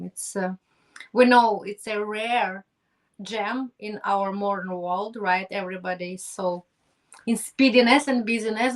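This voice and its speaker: A woman's voice: mid-range voice, a bit bright. A woman from Ukraine, speaking as a guest in an effusive, grateful way with phrasing. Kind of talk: conversational interview. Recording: distant from the mic.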